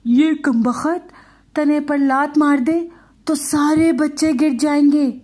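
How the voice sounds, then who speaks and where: A woman's voice: high voice, fairly even intonation, darkish tone, pitched above the performer's own voice, lightly acted. A woman voicing a gullible, timid father owl in a children's folk tale, with a plaintive manner. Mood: anxious, reluctant.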